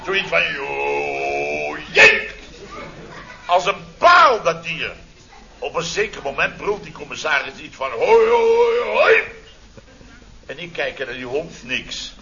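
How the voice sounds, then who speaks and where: Blustering, bright-voiced sarcastic boss in radio comedy. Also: male voice, fairly high pitch, wildly swooping pitch, slow pace, full character voice. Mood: amused, exclamatory. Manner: theatrical, exclamatory, sarcastic storytelling. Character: blustering, sarcastic middle-aged contractor boss telling his mishaps. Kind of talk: radio comedy serial acting. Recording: dull, noisy old radio recording.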